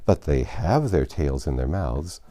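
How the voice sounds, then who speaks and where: A man voicing a melancholy, wistful turtle creature from a storybook, with emphatic, drawn-out delivery. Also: extremely low pitch, lively intonation, mellow. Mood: knowing. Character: melancholy, wistful old turtle creature, excitable when reminiscing. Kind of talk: storybook character reading.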